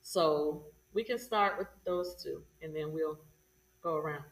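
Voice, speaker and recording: A woman's voice: deep voice, a little slow. A woman giving informative answers as an interview guest. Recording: echoey room, far from the mic.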